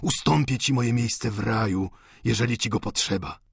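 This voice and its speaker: A man's voice: on the low side, normal speed, vocal fry, slightly rough, no room sound, clean studio sound, exaggerated voice. A man voicing a doting old father, effusive and sentimental, pleading fervently with the daughter he adores. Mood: rapturous. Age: older.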